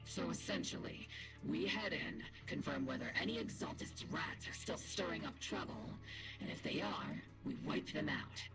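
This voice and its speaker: An actor playing a bold, confident military squad captain with stern resolve in a video game, with loud music behind. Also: medium pitch, moderate pace, gravelly, close to an everyday voice. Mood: brisk, determined. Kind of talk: dramatic video game dialogue.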